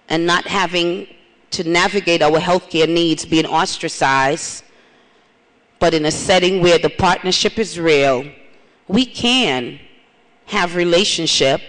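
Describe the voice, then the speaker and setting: A middle-aged woman from Trinidad giving an earnest, testimonial conference speech with repeated phrases. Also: fairly low pitch. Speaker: conference speaker. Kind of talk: plenary speech. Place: large conference hall. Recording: reverberant hall.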